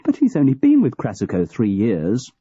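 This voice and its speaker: A man's voice: medium pitch, huge pitch swings, moderate pace, dark tone, breathy edge, no echo, voice pushed up. A man reading the part of a middle-aged company manager, loyal and ambitious, speaking in a polite, restrained way, on a dull lo-fi recording. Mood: shocked.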